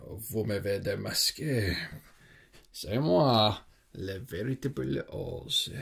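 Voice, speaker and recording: A man's voice: medium pitch, gravelly, slightly rough, heavily acted. A man playing a meek, unmasked humbug wizard in an ASMR film, confiding and apologetic. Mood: sheepish. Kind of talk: French ASMR roleplay film.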